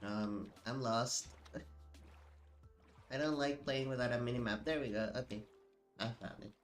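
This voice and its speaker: A sniffly man talks slowly and loosely into a desk mic while he plays, filling his sentences with "like" and starting over mid-thought. Faint game music sits low behind his voice. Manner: rambling and chatty, many "like"s and self-corrections. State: sniffly from allergies. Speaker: streamer playing a video game. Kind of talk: casual live-stream chat while playing. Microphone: desk microphone.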